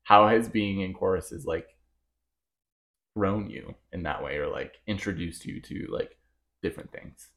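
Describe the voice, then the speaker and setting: A man's voice: low-pitched, a bit bright. A man co-hosting a podcast in a playful, teasing way as he asks a guest questions. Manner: playful, teasing, quick to laugh. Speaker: podcast co-host. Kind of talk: casual interview chat.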